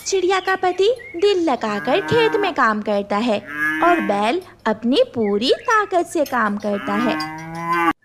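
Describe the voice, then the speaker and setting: A woman's voice: sits high, a little hiss or hum. A woman narrator reading a scripted children's cartoon story.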